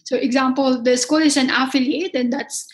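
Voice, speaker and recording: A young woman with a Filipino accent, a culinary instructor answering interview questions with halting, careful phrasing and frequent restarts. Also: high-pitched, steady tone. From Philippines. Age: young adult. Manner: halting, many restarts, speaks with care.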